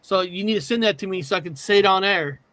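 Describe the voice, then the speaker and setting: Bright high-voiced man, rambling host. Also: quick pace, no echo. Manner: rambling, repeats filler words. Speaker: co-host of a paranormal talk show. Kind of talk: casual live-stream chat.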